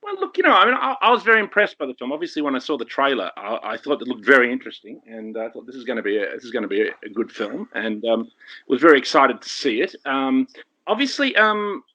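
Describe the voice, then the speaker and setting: A man, a filmmaker guest on a podcast, giving rambling, opinionated film views in quick bursts. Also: medium pitch, big pitch swings, bright, forceful tone, highs cut off. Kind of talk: podcast discussion.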